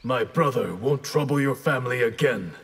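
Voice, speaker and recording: A man's voice: medium pitch, normal speed, vocal fry, fairly bright tone, husky, heavily acted. A man playing a stern, honor-bound ninja clan leader with a solemn, formal delivery in a fighting game. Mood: solemn, resolute. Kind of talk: dramatic fighting game dialogue. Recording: reverberant hall-like game mix.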